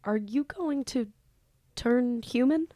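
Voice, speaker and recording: A woman's voice: on the high side, slow, mellow. A woman playing an awkward, eager young student who dabbles in demon summoning, in an amateur audio drama. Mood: awkward, pleading. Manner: casual, hesitant, self-deprecating. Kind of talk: amateur audio drama acting with first-person narration. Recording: echoey room.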